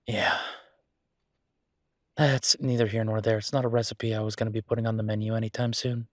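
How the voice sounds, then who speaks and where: A man playing an affectionate, playfully grumbling young werewolf chef in an intimate, casual boyfriend roleplay audio, chatty and halting. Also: fairly low pitch, flat delivery, no echo, studio quality, lightly acted. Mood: fond, enthusiastic.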